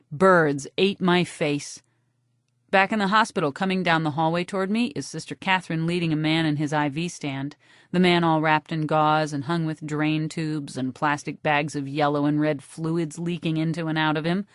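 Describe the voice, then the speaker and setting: A woman narrating a first-person novel for an audiobook with a wry, deadpan delivery. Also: sits low, no echo, clean studio sound.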